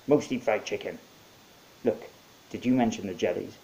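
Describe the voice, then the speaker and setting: Man playing a gruff, plain-spoken storybook soldier. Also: lowish voice, moderate pace, mellow, low-quality recording. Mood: brusque, curious. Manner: barking orders, plain-spoken. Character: gruff but deferential soldier with bad breath.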